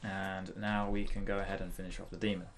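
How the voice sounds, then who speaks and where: A man hosting a casual software tutorial, thinking aloud hesitantly as he demonstrates. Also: sits low, little pitch movement, fast, background noise. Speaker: tutorial host.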